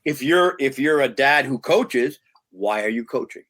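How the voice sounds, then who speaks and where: A man's voice: medium pitch, expressive, unhurried, a bit bright. Earnest middle-aged man with restarts. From United States. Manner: earnest and emphatic, with frequent restarts. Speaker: guest, youth sports consultant. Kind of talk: podcast interview talk.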